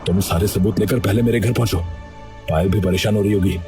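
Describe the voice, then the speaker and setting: An earnest undercover detective from a village cartoon tale, voiced by a man. His voice sits low and he talks like someone explaining himself. Music plays clearly behind him. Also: fairly even intonation, fast, warm tone, natural voice. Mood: urgent. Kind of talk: Hindi cartoon story dialogue.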